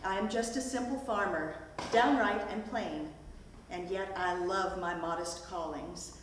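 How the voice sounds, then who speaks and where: A woman's voice: on the low side, measured pace, lightly acted. Actress as distant, echoing woman reciting verse. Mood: gracious, reverent. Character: gracious 1920s folk school woman reciting a pastoral poem. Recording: distant echoey hall, faint noise.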